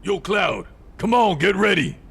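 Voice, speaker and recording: An actor playing a gruff, hot-headed resistance fighter in a video game, blunt, impatient and folksy, with a raspy voice. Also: male voice, sits high, lively intonation, measured pace, a bit bright, full character voice. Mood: impatient, blustering. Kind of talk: dramatic video game acting.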